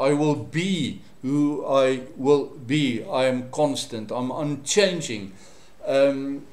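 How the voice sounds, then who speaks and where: A man with a South African accent and Afrikaans as his first language delivers a religious teaching. His voice sits a little high for a man, and he speaks slowly and didactically in short phrases. The sound is roomy, with a clear echo. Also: a little mellow. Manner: measured, didactic, pausing between short phrases. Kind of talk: Bible teaching sermon.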